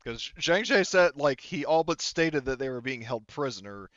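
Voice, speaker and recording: A man narrates a tabletop game as its game master. His voice is smooth, at an average pitch, and he feels his way through sentences conversationally. The sound is dry and slightly muffled. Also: sing-song, normal speed, a bit bright, no echo. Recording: slightly dull close dry mic.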